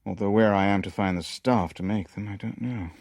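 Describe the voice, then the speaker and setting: A man plays a pragmatic businessman in a radio play. His voice is low and sits in a dry studio sound. He talks in a measured, wry, understated way. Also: steady tone, darkish tone, dry recording, natural voice. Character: pragmatic, wry male businessman, sceptical and worldly. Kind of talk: radio drama dialogue and first-person narration.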